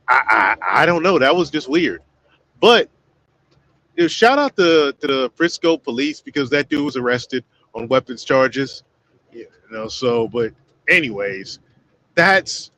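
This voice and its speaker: A man hosting a casual livestream. He rambles, talks straight to his viewers as "y'all" and gives shout-outs along the way. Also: a little high, poor audio. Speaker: livestream host.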